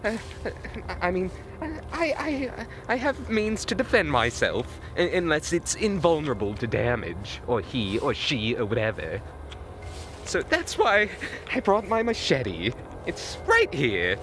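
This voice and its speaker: Man, nervous stammering vlogger, voice cracks, very swoopy pitch, slow delivery, outdoor night recording. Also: fairly bright tone. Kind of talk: mock-scared comedic night vlog. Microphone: handheld camera.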